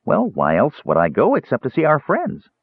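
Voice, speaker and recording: A man voicing an excitable, childlike little kender who rambles eagerly in a storybook reading. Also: medium pitch, moderate pace, breathy edge, no echo, narrow, tinny audio, voice pushed up, full character voice. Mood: breezy, defensive. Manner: rambling, breathless, eager, digressive.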